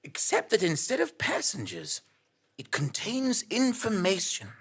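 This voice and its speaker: A woman's voice: mid-range voice, wildly swooping pitch, unhurried, slightly rough, heavily acted. A woman playing a bewildered amnesiac male investigative journalist in a comic audio drama, theatrical and mock-serious. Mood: mock-solemn. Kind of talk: comic audio drama, scripted narration and dialogue.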